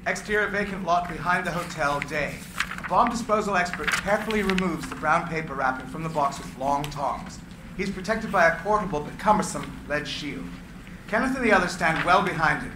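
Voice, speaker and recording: Man narrating screenplay, brisk, echoey room, steady hiss. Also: a little high. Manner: brisk, matter-of-fact. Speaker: narrator reading stage directions. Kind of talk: reading a screenplay aloud. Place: gallery room with seated audience.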